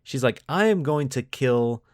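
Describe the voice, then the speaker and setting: A man's voice: medium pitch, lively intonation, a little slow, smooth, no room sound, clean studio sound. A man hosting a podcast, mixing scripted lore narration with casual commentary and putting dramatic emphasis on key words. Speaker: podcast host.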